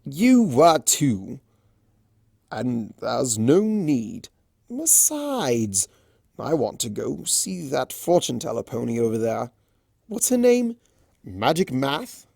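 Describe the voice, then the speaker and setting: A man's voice: medium pitch, sing-song, slow, vocal fry, darkish tone, dry recording, studio quality, exaggerated voice. A man voicing a mischievous, smug male chaos creature from a cartoon fan story, theatrical and mocking, drawing out his words. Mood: huffy, insistent.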